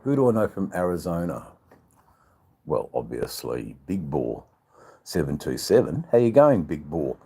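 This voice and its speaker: An older Australian man hosts a casual livestream in a very deep, husky voice. He talks slowly, rambling along and drawing out his words. His chat with viewers is informal and joking. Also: very animated, dark tone. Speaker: livestream channel host.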